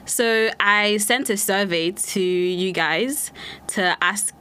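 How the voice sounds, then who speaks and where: A woman's voice: mid-range voice, slow, bright, forceful tone, clean tone. A young woman from Nigeria with a hybrid Nigerian and British-leaning accent, hosting a casual solo podcast, chatty and laughing often. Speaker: podcast host.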